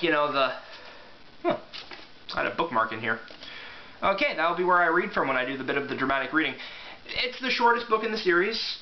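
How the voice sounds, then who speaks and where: A man talking casually and reading aloud in an informal, offhand way. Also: on the high side. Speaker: amateur book reviewer and reader. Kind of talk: casual rambling review and book read-aloud. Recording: echoey room, muffled, faint hiss.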